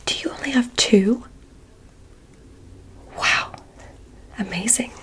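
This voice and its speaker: A woman voicing a gentle, curious alien doctor in an ASMR medical roleplay, whispering soothing, softly formal instructions. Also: a little high, slow. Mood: quietly fascinated. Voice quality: breathy whisper.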